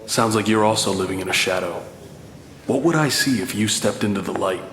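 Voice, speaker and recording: An actor voicing a young male mutant brawler, sincere, steady and idealistic, in an animated drama. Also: lowish voice, fairly quick, close to an everyday voice. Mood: earnest. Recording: echoey hall with faint noise.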